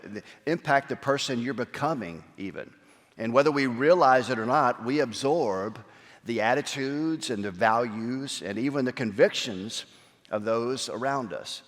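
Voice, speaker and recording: A man giving a conversational Sunday sermon as pastor, with many fillers and restarts. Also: medium pitch. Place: church sanctuary. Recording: long hall reverb.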